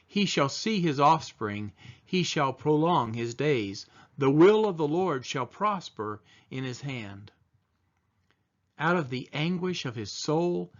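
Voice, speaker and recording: A man reading the Bible aloud solemnly, in measured, deliberate phrases with pauses. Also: mid-range voice. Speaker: scripture reader. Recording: dull, lo-fi sound.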